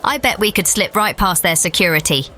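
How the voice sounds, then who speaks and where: A woman's voice: fairly low pitch, expressive, a little fast, bright, forceful tone, soft background music, a faint crowd. A woman playing an eager, resourceful starship tech specialist in a sci-fi audio drama.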